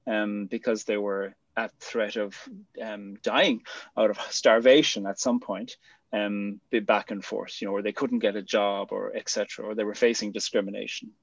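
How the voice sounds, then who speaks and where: A man's voice: fairly low pitch, normal speed, gravelly, no room sound, muffled recording. A man from Ireland with an Irish accent, speaking as a guest in a reflective conversation and acting out the quotes in his stories. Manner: animated storyteller, acts out quotes.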